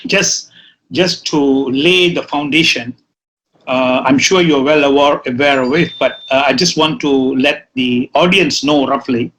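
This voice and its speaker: A man's voice: medium pitch, a little slow, fairly bright tone, poor audio. A middle-aged man hosting a talk show interview, asking questions with many fillers and restarts. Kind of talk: casual interview.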